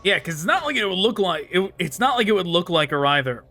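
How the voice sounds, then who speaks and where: A man's voice: high-pitched, big pitch swings, fast talker, bright, forceful tone. A man giving casual, informal let's play commentary with amused musing as he plays. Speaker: gaming streamer.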